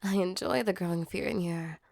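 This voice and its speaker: A woman voicing a female sleep paralysis demon in an intimate ASMR roleplay, with a soft, close delivery. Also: on the low side, a little fast, vocal fry, no echo. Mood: taunting, menacing. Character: female sleep paralysis demon, mock-menacing turned tender and flustered.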